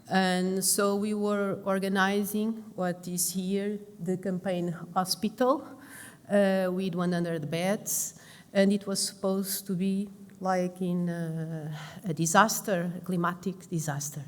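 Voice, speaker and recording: A middle-aged Portuguese woman, a lung and intensive care doctor, giving a conference talk in English with a Portuguese accent, halting and restarting her words often. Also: lowish voice. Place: large conference hall. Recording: long hall reverb.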